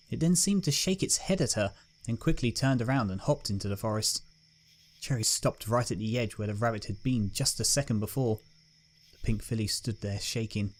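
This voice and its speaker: A man narrating a dramatic scripted story as its reader. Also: medium pitch.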